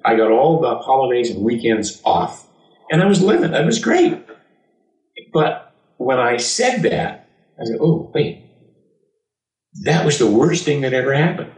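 An older American man, a voice actor, tells personal stories in an emphatic, animated way with dramatic pauses. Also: medium pitch. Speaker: voice actor and former radio announcer. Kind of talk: autobiographical storytelling to an audience. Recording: echoey room, distant mic.

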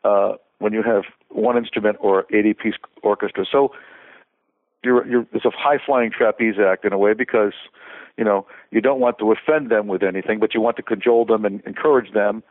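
A man's voice: lowish voice, fairly even intonation, a little fast, no echo. An older man from Connecticut, a conductor and composer, talking casually as an interview guest, rambling with many restarts and fillers. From Connecticut, USA. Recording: tinny phone-like line.

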